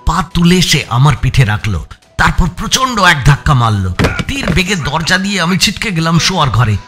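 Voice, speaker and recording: A man's voice: fairly high pitch, very animated, normal speed, music in the background. A man with an Indian Bengali accent giving a dramatic first-person audiobook narration of a story.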